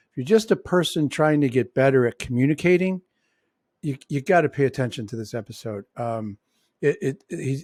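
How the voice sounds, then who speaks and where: A man's voice: medium pitch, darkish tone, dry recording, clean studio sound. A man with a mixed Midwestern and New York accent hosting a casual podcast interview, rambling with lots of restarts and fillers. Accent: Midwestern and New York American. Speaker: podcast host.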